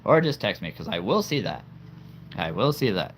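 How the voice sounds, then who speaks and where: A man's voice: on the low side, very animated, moderate pace, slight background noise. A man hosting a livestream in casual chat, laughing often and stammering over repeated words. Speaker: livestream host.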